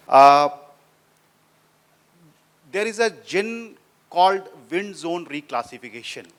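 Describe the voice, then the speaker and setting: Hoarse man, pressing question. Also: fairly high pitch, fairly bright tone. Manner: pointed, increasingly insistent questioning. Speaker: audience member asking a question. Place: conference hall audience. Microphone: speaking without a microphone.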